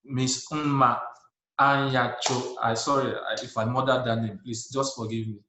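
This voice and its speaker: A man's voice: medium pitch, fairly even intonation, normal speed, background noise. A man from Nigeria hosting a video call panel, upbeat and encouraging, often laughing. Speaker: host. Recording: roomy echo.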